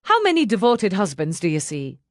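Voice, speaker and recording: A woman voicing a suave, mild-mannered husband with a dry, unhurried, wryly amused way of talking. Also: medium pitch, huge pitch swings, no echo.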